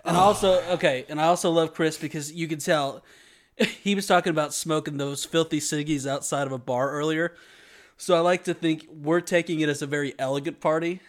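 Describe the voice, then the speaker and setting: A young man co-hosting a podcast in casual banter, often breaking into high-pitched giggles. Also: fairly even intonation, fairly quick. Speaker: podcast co-host.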